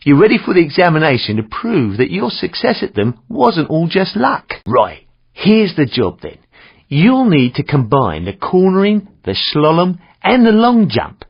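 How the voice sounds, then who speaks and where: Casting: arch, sardonic British game instructor, lively swooping delivery, gravelly edge. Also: male voice, a little high, a little slow, exaggerated voice. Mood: brisk, instructive. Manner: wry, arch, mock-polite. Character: dry, sardonic British male driving instructor. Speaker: stunt driving instructor character in a video game. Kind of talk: video game character voice acting. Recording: dull, compressed game audio.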